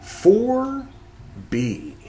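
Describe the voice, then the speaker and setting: A man hosting a podcast, reading a text aloud and speaking its punctuation, with quiet wry asides between passages. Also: extremely high pitch, sing-song, soft background music, rough recording. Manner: reads slowly, speaking the punctuation aloud and trailing into whispered asides.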